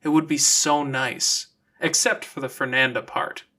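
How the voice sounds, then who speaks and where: Bright, forceful audiobook narrator. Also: medium pitch, steady tone, measured pace. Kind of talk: scripted story narration.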